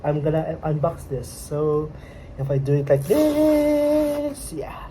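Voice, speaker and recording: A woman's voice: low-pitched, slow, mellow, slight background noise, low-quality recording. A young woman with a Filipino accent, a native Filipino speaker, vlogging casually in English and hesitating often as she searches for words. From Philippines. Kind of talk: casual unboxing vlog. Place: home at night.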